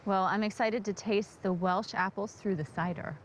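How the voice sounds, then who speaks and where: A woman's voice: mid-range voice, steady tone, normal speed, rough recording. A woman travel show host interviewing locals outdoors, asking questions in a bubbly, enthusiastic way. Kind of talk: on-location interview. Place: outdoors on farms. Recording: outdoor field recording with noise.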